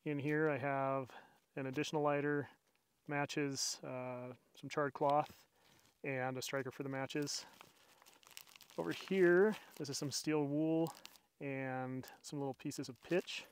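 A man's voice: mid-range voice, fairly even intonation, slow, a little hiss or hum. A middle-aged man hosting a camping vlog outdoors, chatty and self-deprecating as he explains his gear step by step to a camera. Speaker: outdoors channel host. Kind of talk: casual bushcraft vlog narration. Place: outdoors at a mountain campsite. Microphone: camera mic, body cam and camera on a tripod.

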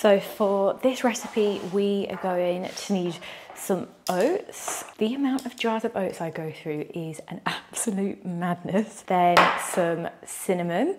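A woman's voice: mid-range voice, unhurried, background noise. Chatty young woman cooking. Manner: chatty, bubbly, talks while cooking. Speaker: fitness and cooking creator. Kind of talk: casual cooking demonstration. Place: bright home kitchen indoors.

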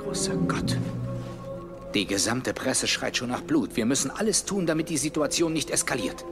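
A grave government minister, loud music. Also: male voice, medium pitch, big pitch swings, normal speed. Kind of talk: dubbed film acting.

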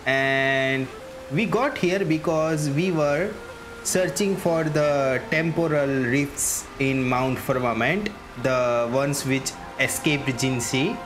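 Guy doing a chill lets play, slow talker, kinda halting, game music in the back. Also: mid-range voice. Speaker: gaming streamer. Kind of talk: casual game playthrough commentary. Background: video game music.

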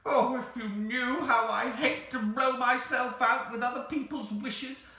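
A small, furry storybook creature, high-pitched and swooping wildly. It is crotchety and put-upon, stammering its complaints and sliding into tearful pleas. The sound is sharp and very roomy. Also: male voice, sing-song, moderate pace, heavily acted. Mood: tearful, pleading. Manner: querulous, stammering, emotionally cracking pleading.

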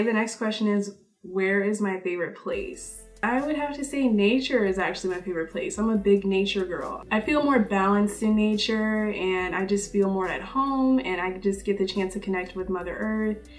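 Chatty young woman vlogging, slightly distant. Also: mid-range voice. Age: 20s. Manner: chatty, upbeat, many "like" fillers. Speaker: YouTuber. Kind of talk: casual get-to-know-me vlog. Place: indoor room, seated before purple backdrop.

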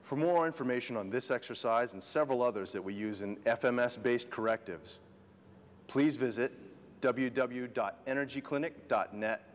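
A man's voice: medium pitch, moderate pace. Man explaining exercises in a reverberant clinic. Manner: explanatory, walks through posture cues step by step. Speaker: fitness and rehab instructor. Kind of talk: demonstration lesson to camera. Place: clinic studio with long reverb. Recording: reverberant room sound.

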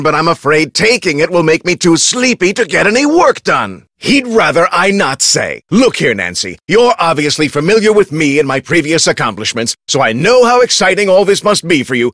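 An actor playing an arrogant, irritable research scientist in a video game, with pompous, formal and brusque speech. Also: male voice, fairly high pitch, bright, forceful tone, no room sound, clean studio sound, full character voice. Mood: guarded, then haughty. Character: irritable, arrogant, self-important male research scientist with explosive temper.

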